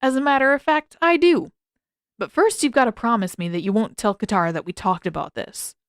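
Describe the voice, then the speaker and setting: A cocky teenage boy acted by a woman banters in an audiobook. He is brash, animated and sarcastic, quick to tease and protective underneath. The recording is dry and close. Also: fairly high pitch, dry recording, lightly acted. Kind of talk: audiobook character voice.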